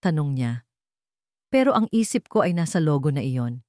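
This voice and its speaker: A woman's voice: deep voice, big pitch swings, moderate pace, darkish tone, dry recording, clean studio sound. A woman with a Filipino accent reading a dramatic romance story as its narrator.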